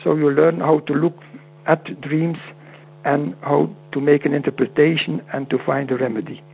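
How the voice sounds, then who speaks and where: A man with a Dutch accent whose first language is Dutch, speaking as a guest in an interview over a call, halting and often repeating words. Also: a little high, level tone, creaky, breathy edge. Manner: halting, repeats words, non-native phrasing. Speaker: guest homeopath. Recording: tinny phone-like line with hum.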